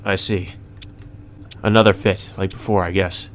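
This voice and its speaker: A man voicing a gloomy, anxious male high school student with a hesitant manner, in a visual novel read aloud. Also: level tone, unhurried, slight background noise. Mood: concerned. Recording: music under the voice.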